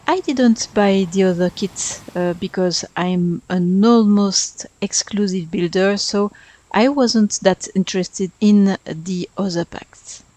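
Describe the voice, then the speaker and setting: A woman's voice: mid-range voice, darkish tone, no room sound, poor audio. A woman with a French accent, a native French speaker in her thirties, chatting through a game build as voice-over narration, talkative and self-deprecating. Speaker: Sims speedbuild YouTuber.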